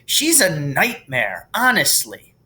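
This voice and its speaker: Man acting a grumpy, sharp-voiced schoolboy. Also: fairly high pitch, huge pitch swings, takes it slowly, full character voice. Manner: snappish, blunt. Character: grumpy, hot-tempered schoolboy.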